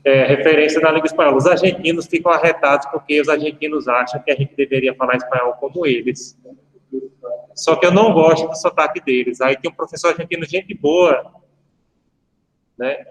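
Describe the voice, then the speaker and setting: A man's voice: on the high side, fairly even intonation, brisk. A man, a law professor giving a casual online lecture, chatty and full of anecdotes and asides to his students. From Brazil. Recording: echoey room with long reverb.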